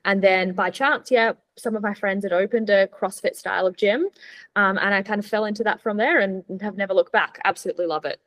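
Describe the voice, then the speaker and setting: A woman's voice: medium pitch, brisk, fairly bright tone. Young woman, informal and upbeat podcast guest. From born in Switzerland, moved to Australia as a child. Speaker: podcast guest, sports nutritionist. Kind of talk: casual conversational interview answers.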